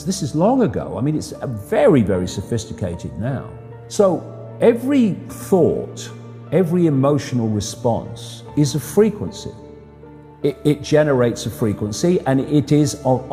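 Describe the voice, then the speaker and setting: A man with a dark, mellow voice talks in a conversational, explanatory way, leaning on repeated words. His pitch moves in lively swings, and a long reverb surrounds him. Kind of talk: explanatory talk. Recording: long reverb, music underneath. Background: music.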